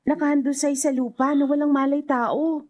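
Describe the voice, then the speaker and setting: A woman's voice: high voice, fairly even intonation, normal speed, darkish tone, natural voice. A Filipino woman playing a cheerful, reassuring young friend in a radio drama, with a teasing, coaxing manner. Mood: gentle, reassuring.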